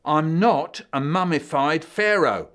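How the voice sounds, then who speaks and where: Brief: a man playing a dramatic schoolboy, grand and high, storybook. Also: slow pace, fairly bright tone. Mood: theatrical. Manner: theatrical, grand. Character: theatrical young boy doing a Queen Victoria voice.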